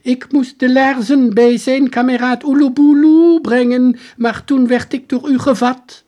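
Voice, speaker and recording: A man voicing a bewildered, frantic soldier from an earlier century, with a hoarse, strained voice. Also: extremely high pitch, steady tone, measured pace, dark tone, pitched above the performer's own voice.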